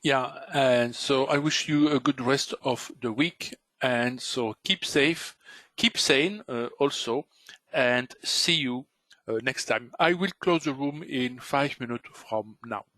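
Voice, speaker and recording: A man's voice: medium pitch, slow, bright, forceful tone, no echo. A Belgian man with a French accent speaks English earnestly and carefully as he leads a trading course. His voice sits in a middle range, recorded close and dry. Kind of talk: webinar talk.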